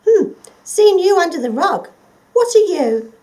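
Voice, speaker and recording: A woman voicing a brisk, bossy but helpful mouse in a children's storybook, speaking bluntly. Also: very high voice, very animated, mellow, pitched above the performer's own voice, heavily acted, rough recording. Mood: brisk, urgent.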